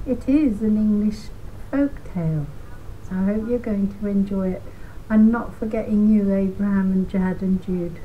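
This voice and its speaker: An older woman reading a children's storybook aloud in a deliberate, gently emphatic way. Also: medium pitch, narrow, tinny audio, a little hiss or hum.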